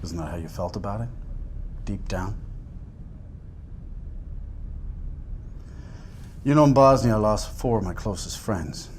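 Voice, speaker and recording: An actor playing a hostile agent and bitter war veteran, blunt, mocking and accusatory in a game cutscene interrogation. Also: male voice, fairly low pitch, expressive, fairly quick, a little mellow, natural voice. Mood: bitter, brooding. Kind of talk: dramatic game cutscene acting. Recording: clear background noise, game ambience.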